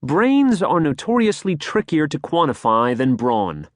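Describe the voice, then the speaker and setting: An American man reading a nonfiction audiobook in a polished, expressive storytelling manner, close to a studio microphone. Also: a little high, a little slow, no echo. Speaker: audiobook narrator. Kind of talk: scripted nonfiction audiobook narration.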